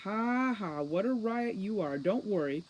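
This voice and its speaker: A woman's voice: mid-range voice, expressive, unhurried, a little mellow, pitched above the performer's own voice. A woman voicing a feisty young female guard in a storybook, bossy, scolding and mocking.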